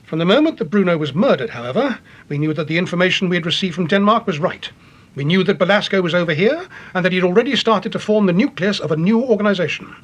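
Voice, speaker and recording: Husky, high-voiced British police chief. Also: male voice, lively intonation, muffled recording. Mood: grave. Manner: grave, deliberate, official. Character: earnest, authoritative English police chief, a titled gentleman. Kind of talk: radio detective drama acting.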